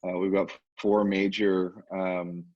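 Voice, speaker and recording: A man speaking haltingly as a portfolio manager, giving market commentary on a conference call. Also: sits low, level tone, a little mellow, no room sound. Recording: slightly dull conference line.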